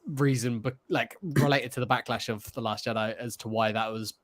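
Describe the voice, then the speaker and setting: A man's voice: medium pitch, fairly even intonation, normal speed. A man co-hosting a podcast, talking in a casual, informal way like a chatty fan. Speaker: podcast co-host. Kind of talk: casual chat.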